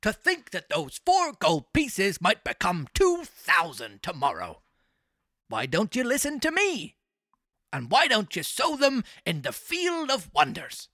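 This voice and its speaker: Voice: mid-range voice, huge pitch swings, a little slow, no echo, studio quality, full character voice. A performer voicing a sly, wheedling con-artist fox in a storybook, theatrical and persuasive with false sympathy. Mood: coaxing. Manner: theatrical, persuasive, mock-gentlemanly. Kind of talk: storybook character reading.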